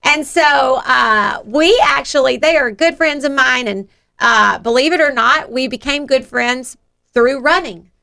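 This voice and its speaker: A woman's voice: sits high, a little slow, gravelly, pushed and bright. A middle-aged woman with a Southern US accent, hosting a chatty motivational radio show in an effusive, encouraging way. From Georgia, United States. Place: radio studio.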